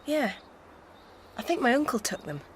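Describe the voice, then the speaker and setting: A woman's voice: fairly high pitch, very animated, moderate pace, a little hiss or hum. An actress playing a friendly, plain-spoken young Victorian village woman in a radio drama, simple and chatty. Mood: candid, helpful.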